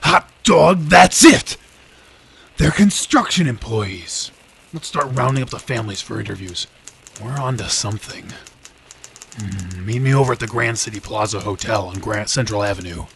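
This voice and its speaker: An actor playing a gruff, weary, hard-drinking police detective in an old time radio drama. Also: male voice, lowish voice, normal speed, a bit bright, a little hiss or hum. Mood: keen.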